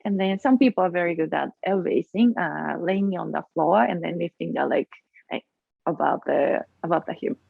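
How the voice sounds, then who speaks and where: A woman with a Japanese accent, a guest in a casual interview, speaking haltingly and searching for her English words. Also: lowish voice, vocal fry, husky, no echo. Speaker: guest, acro yogi. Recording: tinny phone-like line.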